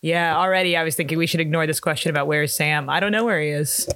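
Young female comic co-host, deep natural voice, easygoing, laughs often, dry home mic. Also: steady tone, fast talker, fairly bright tone, dry recording. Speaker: podcast co-host, comedian. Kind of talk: casual chat. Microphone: own home recording setup.

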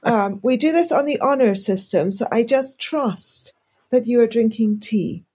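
Soft husky woman host. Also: on the high side, unhurried. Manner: polite and inquisitive. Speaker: podcast host. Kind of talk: interview hosting.

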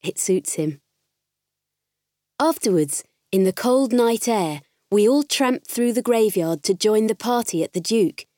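Audiobook role: spirited farm girl played by a woman, candid diary storytelling, mid pitch, very dry recording. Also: a little slow, dry recording, natural voice. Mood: fond, cheerful. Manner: expressive, conversational storytelling. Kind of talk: audiobook first-person diary narration.

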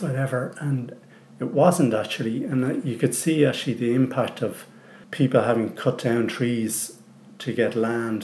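A man's voice: mid-range voice, darkish tone, a little hiss or hum. Reflective Dublin man in an echoey room. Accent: Dublin Irish. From Ireland. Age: 40s. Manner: reflective, loose, with many ums and restarts. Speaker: interviewee. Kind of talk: recorded personal testimony.

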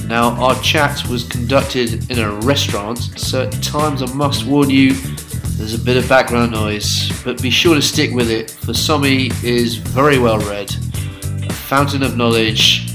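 A man hosting a podcast, reading a formal, measured introduction before asking interview questions. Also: mid-range voice. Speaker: podcast host.

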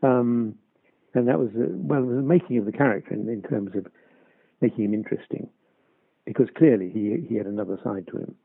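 An older actor reminiscing in an interview, hesitant with many restarts and chuckles. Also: male voice, medium pitch, a little fast, gravelly, slightly rough. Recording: dry, tinny phone-like line.

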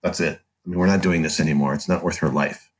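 A man's voice: a bass voice, fairly even intonation, fast, a little hiss or hum. A middle-aged American man telling a personal story conversationally as an interview guest, candid and wry. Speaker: podcast guest, author.

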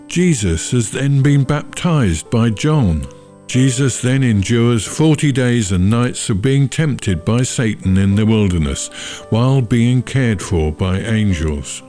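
A man leading a church service, giving a short sermon and prayers in measured, solemn phrasing. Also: medium pitch, dark tone.

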